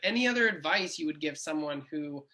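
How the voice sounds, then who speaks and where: A woman's voice: deep voice, lively intonation, a little fast, bright, forceful tone. A woman hosting an interview, asking questions and stuttering openly with repeated sounds. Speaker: host, speech therapist.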